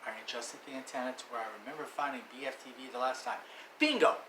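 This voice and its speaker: A man voicing a folksy uncle who tells a tall tale around a campfire in a story reading, telling it like excited play-by-play. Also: mid-range voice, fast, close to an everyday voice. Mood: storytelling. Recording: echoey room with faint noise.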